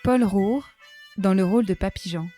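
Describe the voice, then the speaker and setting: Mellow mid-pitched woman reading credits. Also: normal speed. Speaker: announcer reading cast credits. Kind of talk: scripted read-out. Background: soft music.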